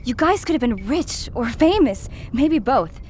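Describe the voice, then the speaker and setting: A woman playing a sharp, skeptical crew member in a science fiction audio drama, blunt and challenging. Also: fairly high pitch, sing-song, a little slow, slight background noise.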